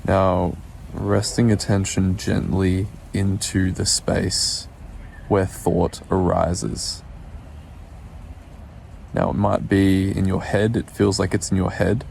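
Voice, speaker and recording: Low, creaky-voiced man guiding meditation. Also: flat delivery, darkish tone, a little hiss or hum, poor audio. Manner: soothing, unhurried, with pauses. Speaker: meditation guide. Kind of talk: guided meditation instruction.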